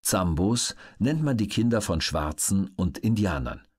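A man reading scripted documentary audiobook narration in a measured, factual manner. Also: low voice, very animated, darkish tone, husky.

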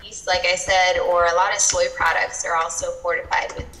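A woman explaining things in casual chat as a nutrition expert, measured and informative, heard over an echoey video call with some noise. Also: on the low side, little pitch movement. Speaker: nutrition expert guest on a cooking show.

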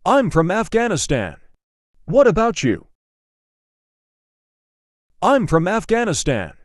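A man's voice: high voice, huge pitch swings, slow pace, no echo, studio quality. A man reading a simple English dialogue for learners, carefully enunciated and repeating each line. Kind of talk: slow scripted dialogue reading.

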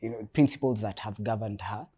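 A man's voice: lowish voice, fairly quick, dark tone, breathy edge. Reflective Kenyan man on a panel. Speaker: panel guest, entrepreneur. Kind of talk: panel discussion. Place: hotel event space.

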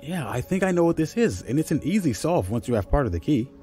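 A man's voice: fairly high pitch, big pitch swings, fast, mellow, faint music behind. An actor playing a patient, puzzle-savvy man who explains step by step in naturalistic audio drama dialogue. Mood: thoughtful.

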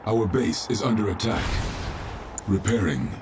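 A man's voice: fairly low pitch, animated, a little slow, background noise. A man voicing an impassive military base computer announcer in a video game, giving clipped, impersonal status reports. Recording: game audio under loud music. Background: loud music.

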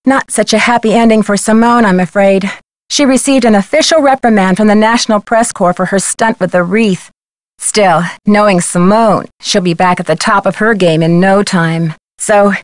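A woman acts as a level-headed teenage girl sleuth from a mystery game. She speaks at a middling pitch in a composed, conversational manner. The recording is dry and close, with no room sound. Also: normal speed, no echo, natural voice. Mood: reflective. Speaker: video game voice actor. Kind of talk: scripted game dialogue and letter narration.

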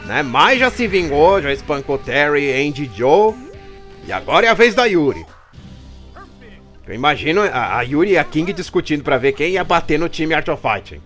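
A Brazilian man hosts a joking let's play while fighting off a cold. His pitch swings widely and sits a bit high. Faint game music runs under his talk. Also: big pitch swings, normal speed, fairly bright tone. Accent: Brazilian Portuguese. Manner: jokey, voices invented dialogue for characters. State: catching a cold. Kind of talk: comic let's play commentary.

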